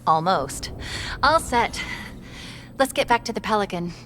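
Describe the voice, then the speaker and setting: A woman's voice: medium pitch, animated, unhurried, noisy background, natural voice. An actress playing a young, confident female AI companion in a video game, quippy and wryly teasing. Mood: playful, wry. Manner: wry, bubbly teasing. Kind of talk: video game cutscene acting.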